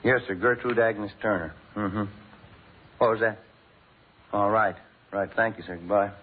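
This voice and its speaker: A man acting a polite, businesslike police detective in an old-time radio crime drama, brisk and plain-spoken. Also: fairly low pitch, fairly even intonation, breathy edge. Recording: tinny, noisy vintage radio recording.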